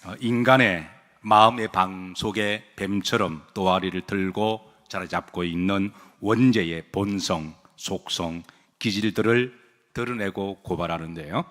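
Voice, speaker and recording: Lower-voiced pastor preaching in a reverberant hall. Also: male voice, measured pace, a bit bright. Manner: asks the congregation questions and has them repeat phrases; jokes lightly with the congregation. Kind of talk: sermon. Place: church sanctuary.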